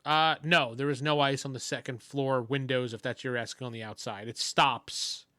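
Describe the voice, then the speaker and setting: A man's voice: mid-range voice, steady tone, normal speed, bright, forceful tone, no echo, studio quality. A man running a tabletop role-playing game, narrating and bantering casually. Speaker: game master.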